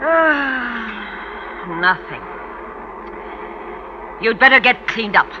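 An actress playing a brisk, tight-fisted middle-aged French widow and mother in an old radio drama. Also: a little high, expressive. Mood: bossy. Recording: dull vintage radio recording with background noise.

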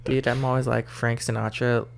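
A man's voice: mid-range voice, flat delivery, moderate pace, vocal fry. A young man co-hosting a podcast, chatting in an easygoing, hesitant way with many fillers. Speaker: podcast co-host. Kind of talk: casual chat.

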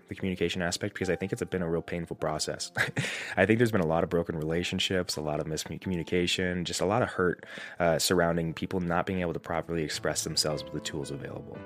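A man's voice: deep voice, fairly bright tone. A man hosting a solo podcast, getting over a cold, thinking aloud in a rambling, reflective way with many restarts. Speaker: podcast host.

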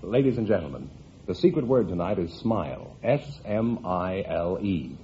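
A man's voice: sits low, lively intonation, moderate pace, warm tone, lo-fi sound, a little hiss or hum. An American man working as a radio announcer in a formal 1950s style, on an old broadcast recording.

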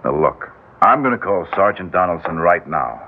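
An actor playing a harried, caring husband on edge, coaxing and wry, in old radio drama style. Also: male voice, sits low, sing-song, normal speed, a little hiss or hum. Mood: soothing. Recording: tinny, band-limited old radio recording.

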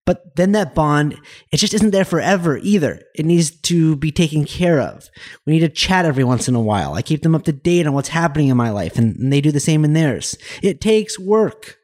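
A man's voice: a little high, lively intonation, fairly quick, a little mellow. A man hosting a podcast, giving a short intro and then asking questions, often restarting his phrases. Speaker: podcast host.

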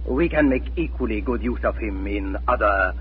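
A man's voice: lowish voice, normal speed, close to an everyday voice. A man playing a cold, menacing Nazi occupation officer in 1940s radio drama, issuing commanding, formal threats. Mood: stern, threatening. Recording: scratchy, tinny 1940s radio recording.

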